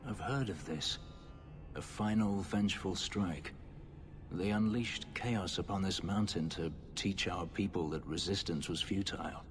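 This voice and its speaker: Deep, grim fantasy companion. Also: male voice, unhurried. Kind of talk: video game dramatic acting. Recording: faint background ambience noise.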